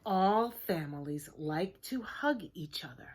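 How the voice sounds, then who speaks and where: A woman reading a children's picture book aloud like a teacher, in a deliberate, expressive way, with hushed chatty asides to her listeners. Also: lowish voice, breathy edge. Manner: slow, expressive, simple deliberate phrasing.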